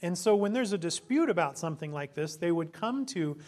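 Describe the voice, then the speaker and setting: Hoarse man preaching a sermon. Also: lively intonation, a little fast. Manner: earnest, preacherly, with frequent ums; prays in a soft, low voice. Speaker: pastor.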